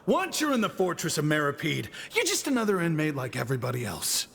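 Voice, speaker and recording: A man's voice: on the high side, big pitch swings, fast talker, vocal fry, fairly bright tone, exaggerated voice. A man playing a gossipy, cynical prison cook who boasts and scorns in a conspiratorial, opinionated way in a video game. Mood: scornful. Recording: game music behind the voice.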